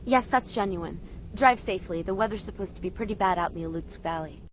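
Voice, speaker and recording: A woman's voice: medium pitch, fast talker, slight background noise, close to an everyday voice, poor audio. A woman playing a wary, official guard with a clipped manner in a video game.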